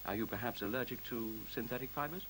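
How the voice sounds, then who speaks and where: An actor playing a self-assured, courteous computer in a radio play, speaking in articulate, formal, precisely lecturing phrases. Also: male voice, mid-range voice, fast, close to an everyday voice, rough recording. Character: self-assured, courteous sentient computer, male-voiced, coolly superior. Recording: faint tape hiss.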